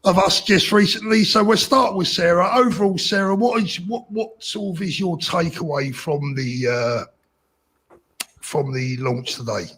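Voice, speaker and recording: A man with a husky voice, hosting a casual, jokey live-stream chat in a rambling way. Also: high-pitched, unhurried, fairly bright tone. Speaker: host.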